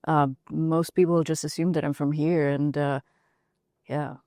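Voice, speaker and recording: Low-voiced woman panelist, from Ukraine, dry close sound, easygoing. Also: flat delivery, a little slow, dark tone, dry recording. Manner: casual, laughs easily. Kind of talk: roundtable discussion.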